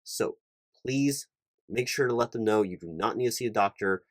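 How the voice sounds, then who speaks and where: Man playing a low-voiced, fussy office recruiter. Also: normal speed, no echo, clean studio sound, lightly acted. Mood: officious, instructing. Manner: rambling, repetitive, pedantic, stumbling over long lists. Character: fussy, officious corporate recruiting manager, man, wordy and apologetic. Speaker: ASMR roleplay performer. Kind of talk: one-sided job interview roleplay ASMR.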